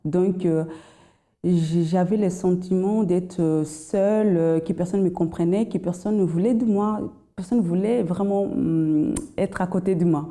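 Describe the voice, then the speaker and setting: A young woman with a slight foreign accent and another first language, giving a hesitant personal testimony full of pauses and restarts. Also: on the low side, warm tone. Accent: slight foreign accent in French. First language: not French. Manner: hesitant, many fillers and restarts. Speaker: testimony guest.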